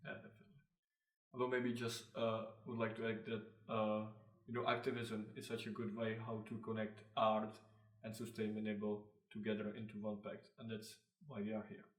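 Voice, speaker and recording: A young man with a Czech accent, a designer answering interview questions casually, halting often with fillers and restarts. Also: lowish voice, monotone. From Czech Republic. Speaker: designer, co-founder of a clothing brand. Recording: echoey room, mic at a distance.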